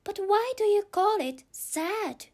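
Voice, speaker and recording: A woman acts out a curious little girl in a storybook. She is earnest and easily upset, speaking slowly in a very high, eager, pleading voice with a non-native English accent. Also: expressive, a little mellow, heavily acted. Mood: puzzled, humble. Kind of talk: storybook character reading.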